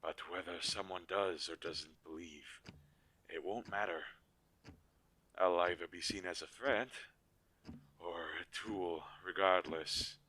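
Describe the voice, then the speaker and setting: Man acting a low-voiced, weary protective inventor-mage. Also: bright, forceful tone, exaggerated voice. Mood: grave, worried. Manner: hesitant, earnest, halting pauses. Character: weary, protective male inventor-mage, creator of living dolls. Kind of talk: scripted audio roleplay drama. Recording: low quality, audible background hiss.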